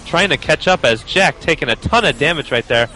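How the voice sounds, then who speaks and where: Bright young male co-caster teasing his partner. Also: fairly high pitch, lively intonation, slight background noise. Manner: teasing, then fast play-by-play. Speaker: esports caster. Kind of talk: live game commentary.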